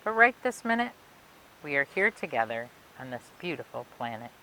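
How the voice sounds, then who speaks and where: Low-voiced woman reading a picture book, lively pitch. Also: normal speed, low-quality recording. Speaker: library storytime reader. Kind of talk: expressive children's picture book read-aloud. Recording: clear background noise and hiss.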